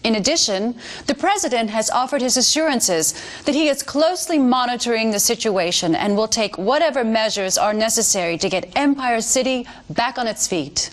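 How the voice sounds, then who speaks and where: An actress plays a female American news anchor from a video game. Her voice sits fairly high, and she delivers scripted bulletins with measured, formal authority. She comes across as an earnest, polished mouthpiece for the government. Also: lively intonation, lightly acted. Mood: polished, upbeat. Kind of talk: scripted news broadcast narration.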